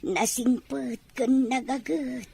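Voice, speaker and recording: A woman playing a gentle, worried middle-aged village wife and mother in a Filipino radio-style drama. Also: high-pitched, steady tone, slow pace, gravelly, slight background noise, exaggerated voice. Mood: tender, concerned.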